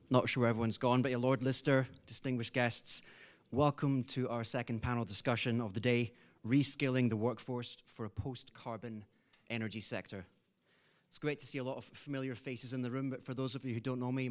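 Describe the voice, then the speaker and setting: A man from the event's organisers giving a polite, formal welcome before handing over to a panel moderator. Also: mid-range voice.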